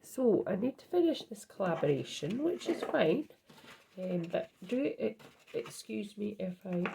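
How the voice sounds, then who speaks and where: Hoarse, gravelly woman. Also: lowish voice, warm tone, a little hiss or hum. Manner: chatty, rambling, with many ums and restarts. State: hoarse from a cold. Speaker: craft channel host. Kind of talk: casual show-and-tell craft vlog.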